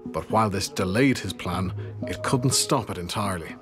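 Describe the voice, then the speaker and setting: Male reporter, lower register, scripted news narration with clear music bed. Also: normal speed.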